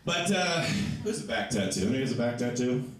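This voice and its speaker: Stand-up comic with a low voice, echoey club. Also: male voice, fast talker, crowd noise. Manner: animated storytelling, voices for others. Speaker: stand-up comedian. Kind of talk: stand-up comedy set. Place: small comedy club. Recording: echoey club sound from a distant mic.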